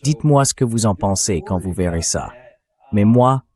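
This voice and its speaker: A synthetic male voice on the low side delivers political commentary as a show host. It sounds like an AI dub of a talk programme. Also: expressive, moderate pace, darkish tone.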